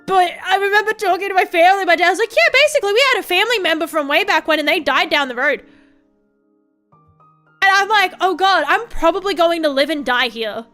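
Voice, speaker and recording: Bright high-voiced Australian woman rambling. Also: lively intonation. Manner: rambling, swears often, quick laughs. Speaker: vtuber streamer. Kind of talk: casual chat to viewers. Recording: faint music behind.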